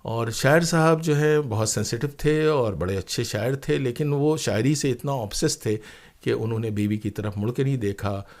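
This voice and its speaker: A man's voice: medium pitch, moderate pace, darkish tone. Earnest man telling stories. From India. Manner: earnest, emphatic, with rhetorical questions and repeated phrases. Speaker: interview guest, playwright and former radio broadcaster. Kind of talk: reflective interview storytelling.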